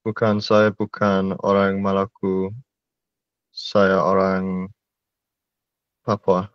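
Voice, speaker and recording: Young man student on headset, low monotone, slow, muffled dry call audio. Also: clean tone, no room sound. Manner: slow, careful learner repeating phrases. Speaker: language student. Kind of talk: online language lesson. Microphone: headset on video call.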